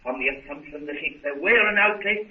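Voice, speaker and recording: Man playing a high-voiced fussy professor, tinny old radio. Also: brisk, reverberant, natural voice. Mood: proud, lecturing. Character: excitable, pedantic male professor of archaeology. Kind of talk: 1930s radio serial acting. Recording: tinny, noisy, distant 1930s radio recording.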